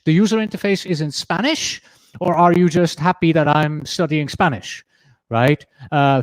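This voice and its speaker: A man's voice: on the high side, no room sound. A man in his fifties from Switzerland with a Swiss German accent, presenting an exam prep webinar on a headset mic in a small home office, chatty and often turning to viewers with questions.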